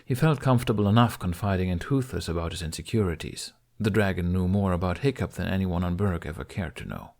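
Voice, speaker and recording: A man with a fairly low voice narrates an audiobook. He reads scripted fiction expressively, as a storyteller. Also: fast talker, gravelly, hardly any pauses, darkish tone.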